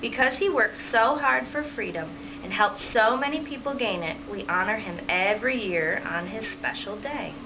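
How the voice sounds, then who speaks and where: A woman's voice: medium pitch, normal speed, few pauses. A woman reads aloud to children as a teacher would, asking questions and encouragingly repeating their answers. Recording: dull, lo-fi home recording with clear background noise.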